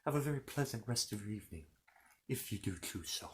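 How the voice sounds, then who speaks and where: A man's voice: fairly low pitch, animated, fast, a little hiss or hum. A man telling a children's fantasy story in an informal ASMR style, rambling along with playful, courteous asides to the listener.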